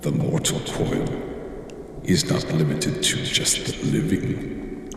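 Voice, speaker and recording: A man playing a sinister, solemn horror anthology host, speaking in an ominous, theatrical, drawn-out way in an audio drama introduction. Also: lightly acted. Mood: ominous, grandiose. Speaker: show host. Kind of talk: scripted horror show introduction. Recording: echoey long reverb, noisy ambience.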